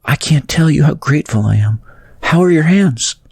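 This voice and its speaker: Guy putting on a hurt posh lady in an audiobook, tender and earnest, raised pitch, dry sound. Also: lively intonation, moderate pace, creaky, slightly rough, dry recording, full character voice. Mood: grateful. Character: grateful, upper-class woman, injured disaster survivor.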